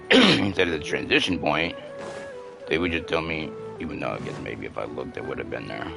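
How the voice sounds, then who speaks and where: A man's voice: a bass voice, lively intonation, moderate pace, creaky. A man giving casual gameplay commentary as a live streamer. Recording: game music behind the voice.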